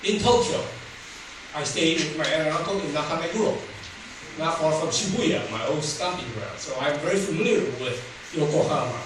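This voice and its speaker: A man's voice: a little high, lively intonation, normal speed. An older man from Taiwan, Taiwanese his first language, telling his life story as a humorous public speech. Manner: humorous, laughs at his own jokes. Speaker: public speaker. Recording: roomy hall from far off, noisy.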